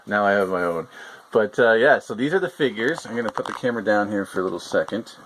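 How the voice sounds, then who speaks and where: Host voice: very low-pitched man, loose and rambling, faint hiss behind him. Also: quick pace, fairly bright tone, poor audio. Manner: rambling, hesitant, lots of fillers. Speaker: toy reviewer. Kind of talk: casual toy review chat.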